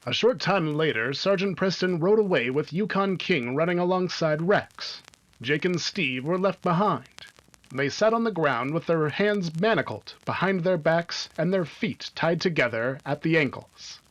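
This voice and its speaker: Guy narrator for old time radio adventure, voice a bit high, scripted read. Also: animated, moderate pace, low-quality recording.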